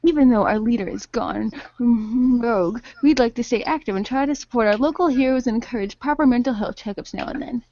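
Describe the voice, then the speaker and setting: A woman's voice: a little high, fairly quick, few pauses, dark tone, no room sound, highs cut off, lightly acted. A woman playing a polite, formal, helpful male office worker in an improvised radio play. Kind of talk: improvised audio role-play.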